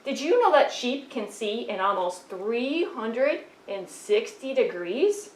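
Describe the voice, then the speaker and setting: A woman's voice: on the high side, big pitch swings, slow pace, reverberant, slight background noise, rough recording. A woman voicing a touchy, defensive sheep puppet in a church puppet show, protesting in a huffy way and repeating her questions. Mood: indignant.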